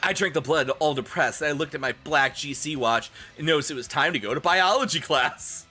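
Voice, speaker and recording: Guy streamer reading out a story theatrically, bright punchy voice, faint music behind. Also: on the high side, fairly quick, breathy edge. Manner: theatrical, expressive. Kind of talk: comedic dramatic reading.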